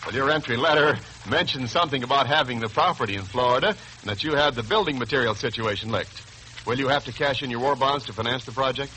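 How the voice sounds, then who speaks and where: A man's voice: medium pitch, quick pace, sharp tone, slight background noise, poor audio. An American man announcing in scripted 1940s radio style, emphatic, formal and polished, on an old broadcast. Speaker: radio announcer and sponsor spokesman.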